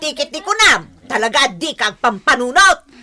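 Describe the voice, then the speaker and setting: Shrill, haughty scolding landowner. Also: female voice, very animated, moderate pace, bright, forceful tone, heavily acted. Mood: scornful. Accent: Ilocano Filipino. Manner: scolding, contemptuous. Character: haughty cruel landowner woman, scornful and bullying. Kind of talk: Filipino radio drama acting.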